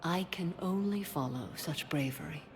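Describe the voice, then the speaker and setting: A woman's voice: sits low, moderate pace, crowd noise, natural voice. A woman playing a solemn, composed alien warrior in a video game, speaking formally and with restraint. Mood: solemn, grateful. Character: female alien Justicar warrior, solemn, composed, devoted mother. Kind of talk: video game cutscene dialogue.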